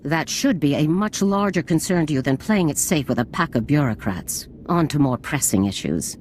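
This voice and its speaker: Stern army general, very deep voice, clipped commands, faint hum behind. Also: female voice, expressive, a little mellow. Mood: commanding. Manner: brisk, authoritative, scornful of bureaucrats. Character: stern female military general, commanding and impatient.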